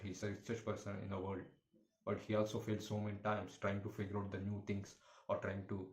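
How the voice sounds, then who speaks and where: A man giving a motivational talk to camera as a YouTuber, in halting, repetitive, earnest phrasing. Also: on the low side, darkish tone. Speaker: YouTuber, motivational content creator. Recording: echoey room with faint background noise.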